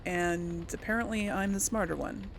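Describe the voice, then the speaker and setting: A woman's voice: medium pitch, steady tone, moderate pace, a little hiss or hum. A woman hosting a let's play, giving chatty, informal gameplay commentary and casually role-playing her replies.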